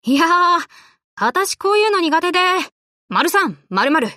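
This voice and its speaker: Bright, brash tomboy warship girl. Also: very high-pitched, sing-song, a little slow, no room sound, studio quality, full character voice. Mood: easygoing. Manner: rough, boyish, casual speech. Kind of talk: Japanese anime game character voice lines.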